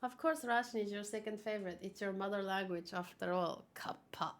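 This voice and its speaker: A woman's voice: medium pitch, a little fast. A woman whose first language is Afrikaans, chatting casually in a rambling, giggly way and often correcting herself as she hosts a manga read-along.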